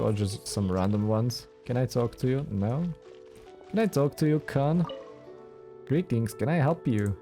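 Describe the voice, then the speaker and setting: A man's voice: on the low side, warm tone. A man doing casual let's play commentary, wry and musing aloud as he goes. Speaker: gaming let's play host. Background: video game music.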